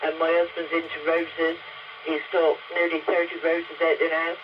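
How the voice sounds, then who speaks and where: A woman's voice: sits low, monotone, moderate pace. A woman, chatting in a plain, colloquial way over CB radio. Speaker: CB radio operator. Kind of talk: casual radio chat. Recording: dull, very noisy CB radio link.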